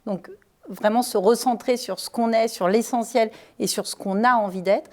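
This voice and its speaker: A woman who cofounded a consulting firm, speaking on a panel in a clear, composed way. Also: fairly high pitch, steady tone, normal speed, darkish tone. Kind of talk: panel discussion.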